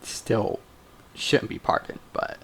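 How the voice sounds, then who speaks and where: A man's voice: slow pace, fairly bright tone, slight background noise. A man giving casual, off-the-cuff commentary over a video game, muttering and trailing off. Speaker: gamer commenting over a playthrough.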